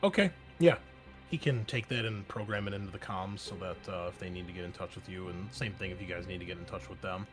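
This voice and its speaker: A man's voice: sits low, quick pace, music in the background. A man running a tabletop game as game master, narrating casually with many fillers.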